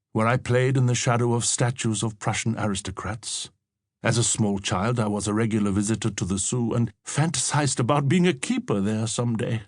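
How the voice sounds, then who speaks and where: A man narrating a literary audiobook as a reflective elderly German-born novelist, in a measured, formal manner. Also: medium pitch, dry recording, clean studio sound, natural voice. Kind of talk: scripted first-person literary narration.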